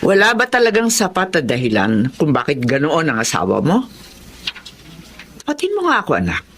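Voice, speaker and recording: An actress playing an older mother in a radio drama, gently probing and patient, with a husky voice. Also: sits low, wildly swooping pitch, moderate pace, full character voice. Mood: concerned, gentle. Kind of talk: Tagalog radio drama acting.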